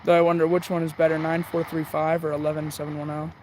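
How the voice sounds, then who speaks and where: A man's voice: fairly high pitch, completely flat delivery, fast. A young man chatting casually as he hosts a live stream about his radio hobby. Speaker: shortwave radio hobbyist livestreamer. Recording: clearly noisy outdoor or in-car recording.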